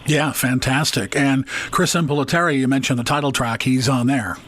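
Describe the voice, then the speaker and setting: A man hosting an interview in an even, middle-pitched voice. He keeps his questions short and steady and fills the gaps with brief acknowledgements. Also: moderate pace. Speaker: interview host.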